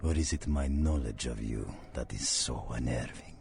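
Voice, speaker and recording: Ancient vampire monster, abyssal gravelly voice. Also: male voice, a bass voice, darkish tone, full character voice. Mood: silky menace. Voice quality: gravelly, breathy whispering rasp. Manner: slow, theatrical, menacing, archaic formal phrasing. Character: ancient, sinister, cultured male vampire monster.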